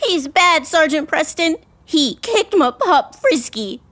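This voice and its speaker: A woman's voice: high voice, very animated, slow pace, a bit bright, exaggerated voice. A woman playing an eager young boy of eight in a comedic radio adventure. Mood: upset. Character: upset, eager eight-year-old frontier boy. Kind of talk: comedic old-time radio acting.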